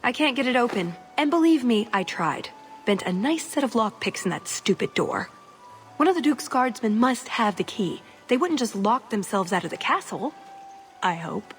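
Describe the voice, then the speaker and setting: Casting: cheeky elf thief for a game, fairly high voice, dry and casual, over music. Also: female voice, big pitch swings, normal speed. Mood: wry. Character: wry, quick-witted, practical female elf rogue. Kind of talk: video game cutscene acting.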